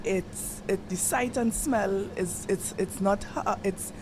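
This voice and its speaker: A woman co-hosting a casual podcast, explaining with many pauses and fillers. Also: mid-range voice, noisy background. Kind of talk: casual chat.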